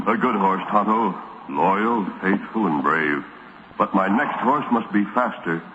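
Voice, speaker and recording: Noble masked western hero, deep American voice, earnest declamatory delivery, muffled noisy vintage radio drama. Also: male voice, a little slow, bright, forceful tone. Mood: solemn, resolute. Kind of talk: 1940s radio drama acting.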